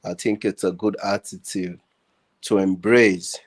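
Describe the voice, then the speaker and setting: Male, Nigerian accent, lowish register, discussion guest; conversational, hesitant, repeats words while searching for phrasing. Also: steady tone, a little slow. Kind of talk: casual discussion. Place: online group video call.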